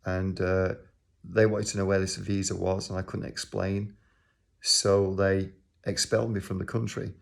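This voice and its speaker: Older Manchester man, low flat gravelly voice, rambling personal story to camera. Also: a little slow. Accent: Manchester English. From England. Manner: rambling, with frequent ums and uhs. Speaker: organisation leader.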